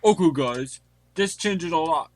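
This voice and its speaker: A man's voice: on the high side, animated, a little slow, background noise. A man playing a formal, earnest butler who is really an undercover detective in an amateur audio mystery. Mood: businesslike. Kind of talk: fan audio drama acting.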